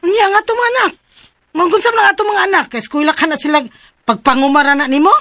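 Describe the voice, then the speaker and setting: High-pitched nagging Cebuano wife. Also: female voice, quick pace, sharp tone, no room sound. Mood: weary complaining. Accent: Filipino, Cebuano. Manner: whining, pushy, punctuated with exclamations. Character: Delflor, nagging, fed-up poor rural wife and mother. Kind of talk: Cebuano radio drama acting.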